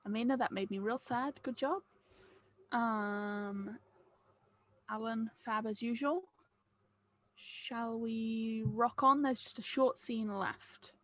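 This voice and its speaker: A woman's voice: a little high, fairly even intonation, slow, pauses often, a bit bright. A woman hosting a table read, chatting casually with the group. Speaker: host running a table read.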